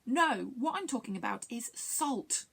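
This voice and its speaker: A woman's voice: a little high, animated, unhurried, gravelly, a bit bright, breathy edge, exaggerated voice. A woman voicing a kindly, clever male creature in a children's story, earnest and polite as he explains things.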